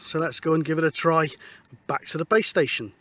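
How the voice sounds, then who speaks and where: A man's voice: a little high, fairly even intonation, brisk, pushed and bright. A British man giving a casual, explanatory hobby vlog in a hesitant, rambling, informal way. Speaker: amateur radio hobbyist presenting antenna tests.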